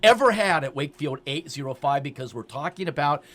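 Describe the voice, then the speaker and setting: A man in his sixties with a slightly high-pitched voice hosts a promotional radio talk show. He speaks with emphasis, often restating a phrase to drive it home. His delivery is chatty and sales-minded. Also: animated, fairly quick, fairly bright tone. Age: 60s. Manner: emphatic, repeats phrases for effect.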